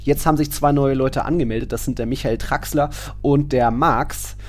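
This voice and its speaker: A man's voice: mid-range voice, fairly even intonation, normal speed. A man co-hosting a football podcast in a casual, chatty, joking way, dropping in Spanish terms. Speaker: podcast co-host.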